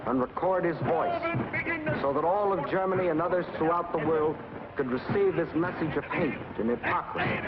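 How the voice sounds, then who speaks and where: A man's voice: fairly high pitch, lo-fi sound, heavy background noise. An American man delivering scripted 1940s propaganda film narration in a dramatic, emphatic manner with clipped phrases, on an old film soundtrack. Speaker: documentary narrator.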